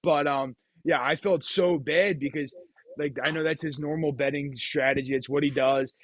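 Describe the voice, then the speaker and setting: A man's voice: on the high side, steady tone, normal speed, no room sound, dull audio. A man chatting casually and telling gambling stories as the host of the show. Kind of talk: casual chat, storytelling about craps.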